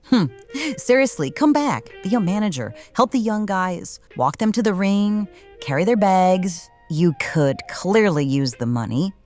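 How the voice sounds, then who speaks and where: An actress playing a smirking, cruel wrestling boss in a noir audio drama, with a taunting, theatrical manner. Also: female voice, medium pitch, big pitch swings, a little slow, darkish tone, faint music behind. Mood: mocking, condescending. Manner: taunting, theatrical, hums while eating.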